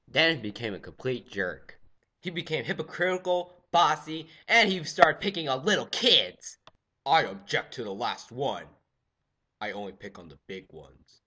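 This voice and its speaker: A teenage boy giving a goofy, jokey history lecture, swinging from loud exclamations to quiet asides. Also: mid-range voice. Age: teens. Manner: goofy, animated, jumps between loud exclamations and mutters. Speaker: student presenter. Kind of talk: comedic lecture with skits.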